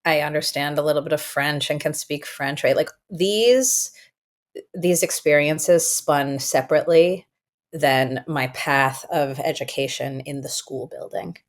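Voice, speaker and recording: A woman educator, a native Farsi speaker, talking through her ideas in a conversational interview, thoughtful and emphatic, slowing to stress key words. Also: low voice. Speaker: guest educator and author.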